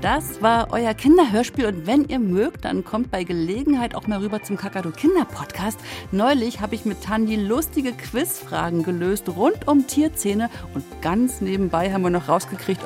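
A woman children's radio presenter gives an informal scripted promo. Her voice is mid-pitched, with music clearly playing behind it. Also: animated, normal speed, a little mellow.

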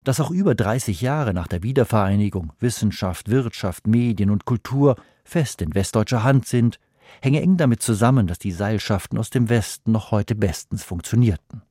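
A man reads his scripted book review for radio in a steady, analytical way. His voice is low and dark. The studio sound is dry and close. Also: moderate pace, no echo. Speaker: book critic.

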